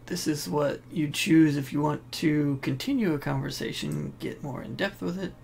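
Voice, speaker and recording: A man's voice: a little high, normal speed, vocal fry, slight background noise. A man hosting a gaming video with casual, informal and slightly rambling gameplay commentary. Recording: loud game sound behind voice-over. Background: game audio.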